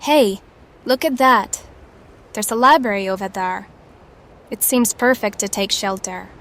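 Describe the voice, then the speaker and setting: A woman's voice: mid-range voice, unhurried, fairly bright tone. An actress playing an anxious young woman survivor in an audio drama. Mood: curious.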